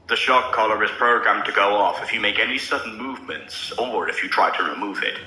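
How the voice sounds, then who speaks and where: A man voicing a calm, faintly mocking male prisoner who speaks in a measured way. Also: on the low side, echoey room, a little hiss or hum, poor audio. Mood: calm warning.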